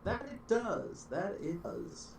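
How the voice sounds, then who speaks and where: A man's voice: high voice, very animated, slow pace, far from the mic, slight background noise. A man co-hosting a casual chat, trading playful jokes with the host. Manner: joking, playful.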